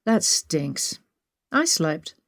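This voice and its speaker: A woman's voice: sits low, very animated, drawn-out words, no echo, studio quality, full character voice. A woman voicing a friendly, playful young woman traveler in a narrated story.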